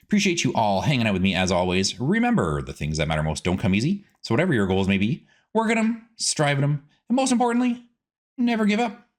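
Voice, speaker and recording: A man giving casual gameplay commentary as a streaming host, chatty and animated, rambling as he goes. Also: medium pitch, fast talker.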